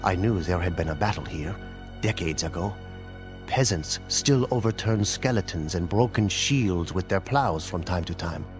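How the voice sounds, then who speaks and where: Ancient priest and battle veteran played by a male narrator, low, grave, slow and reminiscing, game cutscene with music underneath. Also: expressive.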